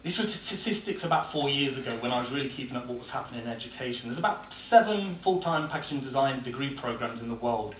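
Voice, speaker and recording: A man's voice: medium pitch, expressive, quick pace, hardly any pauses. An Irish-born man with an English accent gives a blunt, informal talk, peppering it with "uh"s. His voice sits in a middle range. It rings around an echoey hall from a distant mic, over a faint background hum. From Ireland. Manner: blunt, informal, with frequent "uh" fillers. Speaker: conference speaker. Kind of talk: conference presentation. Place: conference hall.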